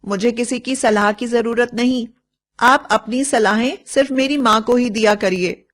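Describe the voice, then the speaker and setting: A woman's voice: fairly high pitch, normal speed, voice pushed up, natural voice. A woman storyteller playing a headstrong college-age daughter who talks back sharply and insistently. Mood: defiant, annoyed.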